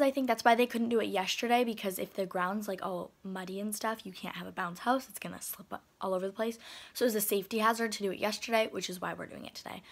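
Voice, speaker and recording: A teenage girl chatting casually for her travel vlog, rambling with lots of "like" and "um", filmed on a phone at arm's length in a hotel room. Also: medium pitch. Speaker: vlogger. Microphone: phone camera held at arm's length.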